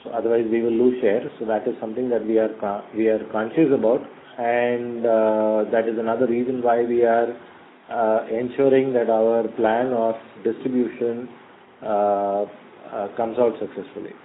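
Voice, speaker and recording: A middle-aged executive answers questions slowly and carefully, filling pauses with many ums. His voice comes through a narrow, tinny phone line. A faint hiss sits underneath. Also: mid-range voice, flat delivery. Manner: measured, hesitant with many fillers. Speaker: company executive. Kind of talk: earnings call answers.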